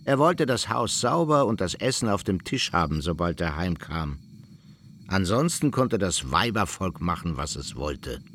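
A man's voice: sits low, sing-song, measured pace, a bit bright, slight background noise, heavily acted. An actor playing a dry, scornful small-town man telling local gossip in a radio play. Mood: sardonic. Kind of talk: radio drama storytelling.

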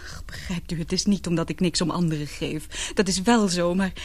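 A young woman playing an anguished daughter in love in a radio drama, confiding in halting, earnest, emotional speech. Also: on the low side, lightly acted. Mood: worried, confiding. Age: young adult.

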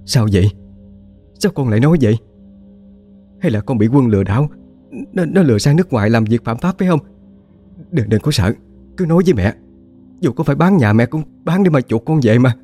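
A man's voice: medium pitch, fast, hardly any pauses, dark tone, breathy edge, soft background music, pitched above the performer's own voice, lightly acted. Man putting on a grieving, tearful mother. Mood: pleading. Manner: pleading, tearful. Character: grieving middle-aged village mother.

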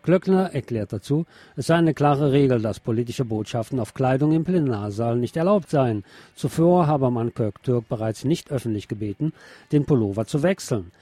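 A man's voice: mid-range voice, animated, moderate pace, mellow, no echo. A man presenting radio news, reading reports aloud with brief asides.

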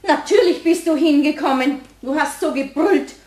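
An actress playing a haughty, indignant wife who speaks reproachfully and emphatically in a German radio play, in an echoey room. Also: female voice, sits high, normal speed, a little mellow, lightly acted. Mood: indignant, scolding.

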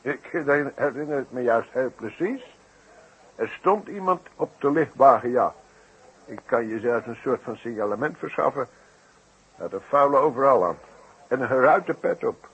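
A man's voice: mid-range voice, animated, fairly bright tone, breathy edge, heavily acted. Flustered, droll man on muffled radio. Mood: earnest, puzzled. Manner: halting, repeats words, florid exaggeration. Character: flustered, droll male eyewitness recounting his mishap. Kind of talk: 1960s Dutch radio play acting. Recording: muffled, noisy vintage radio recording.

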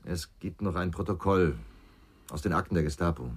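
A man's voice: low-pitched, brisk, husky. A man playing a middle-aged ex-policeman in a radio drama, speaking in a terse, grave, brooding way. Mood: grave, insistent. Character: brooding middle-aged male ex-policeman haunted by his father. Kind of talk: naturalistic radio drama acting.

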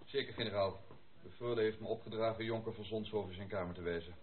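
Radio drama casting: actor as respectful old-school manservant, lowish, correct and measured, phone-like recording. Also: male voice, steady tone, a little hiss or hum, close to an everyday voice. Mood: dutiful. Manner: formal, deferential, measured. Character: deferential, dutiful manservant of a noble house. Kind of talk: Dutch radio play acting.

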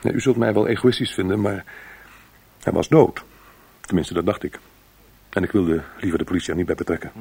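An actor playing a nervous, apologetic records clerk in a radio play, speaking haltingly. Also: male voice, low-pitched, vocal fry, a little mellow, breathy edge, full character voice. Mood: nervous, defensive. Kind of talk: radio drama acting.

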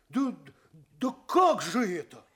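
An actor playing a hapless, weary poor farmhand in a fairy-tale audio play, stammering, sighing and plaintive. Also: male voice, high-pitched, big pitch swings, very slow, fairly bright tone, exaggerated voice. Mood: flustered.

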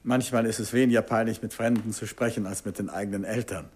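An actor playing a suave, courteous, gently persistent detective novelist in a 1950s German radio drama, with a husky voice. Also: male voice, fairly low pitch, steady tone, fast talker. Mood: courteous, persuasive. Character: suave, calmly insistent male detective novelist and amateur sleuth.

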